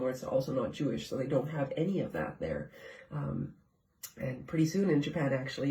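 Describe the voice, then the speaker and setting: A woman's voice: low-pitched, mellow. A woman from Canada hosting a live nutrition show from her home kitchen, talking casually and asking viewers questions, with a stuffed-up, congested voice. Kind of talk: casual live-stream chat. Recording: echoey room, far from the mic.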